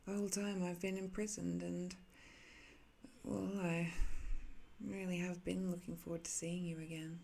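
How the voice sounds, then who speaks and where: Woman voicing an ancient evil lady for asmr roleplay, mellow n gravelly, haughty but smitten, teases softly. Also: on the low side, flat delivery. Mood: wistful, fond. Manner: condescending, teasing, hesitant tender pauses. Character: ancient imprisoned female villain, haughty yet infatuated and flirtatious. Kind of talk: intimate ASMR roleplay acting.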